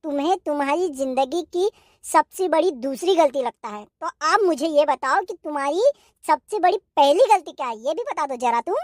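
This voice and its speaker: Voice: high-pitched, lively intonation, normal speed, no echo, studio quality. A performer voicing a hot-tempered young wife in a cartoon comedy, scolding and sarcastic. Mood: threatening.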